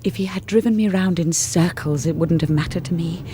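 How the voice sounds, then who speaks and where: An actress playing a shy young lady's companion in a radio drama, gentle, hesitant and well-spoken as she narrates and converses. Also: lowish voice, brisk, a little hiss or hum, close to an everyday voice. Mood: wistful, reflective. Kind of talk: radio drama acting and first-person narration.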